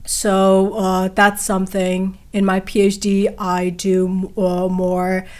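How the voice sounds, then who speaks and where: A woman's voice: medium pitch, monotone, slow, a little mellow. A young woman from Nepal, a guest on a podcast interview, speaking hesitantly with many fillers and restarts. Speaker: guest, PhD candidate.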